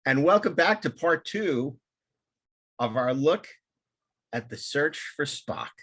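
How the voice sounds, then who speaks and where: A man's voice: a little high, lively intonation, takes it slowly, a bit bright, slightly rough, dry recording. A man hosting a film discussion podcast, talking through and reading out notes. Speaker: podcast host.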